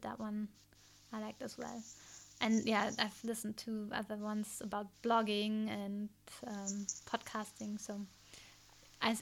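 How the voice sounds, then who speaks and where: A woman's voice: fairly high pitch, fairly bright tone. A woman with a German accent, a podcast host chatting casually and searching for words in English. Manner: thoughtful, hesitant, many fillers. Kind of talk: casual interview chat.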